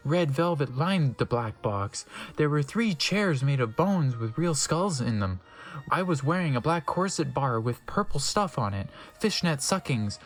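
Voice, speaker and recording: A man doing a comedic dramatic reading as narrator, mock-serious and breaking off into incredulous asides. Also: on the high side.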